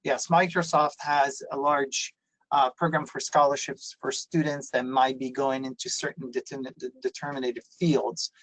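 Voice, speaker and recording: A man's voice: mid-range voice, level tone, moderate pace, fairly bright tone, no echo, poor audio. A man, raised in Italy, giving a webinar presentation on a video call and explaining things with many fillers and restarts. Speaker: university presenter.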